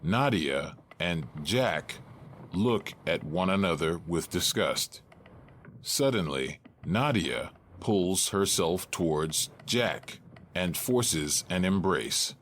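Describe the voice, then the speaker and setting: A man's voice: low voice, lively intonation, slow pace, fairly bright tone. A man narrating scripted stage directions for an audio drama, with faint noise behind him.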